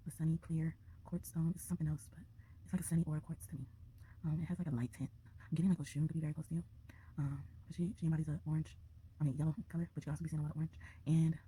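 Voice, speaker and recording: Rapid-fire, dark-voiced woman tarot reader. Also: low-pitched, a little hiss or hum, low-quality recording. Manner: chatty and loose, frequent laughs and filler words. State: slightly congested from a sinus infection. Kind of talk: casual pick-a-card tarot reading. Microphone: close to a desk microphone.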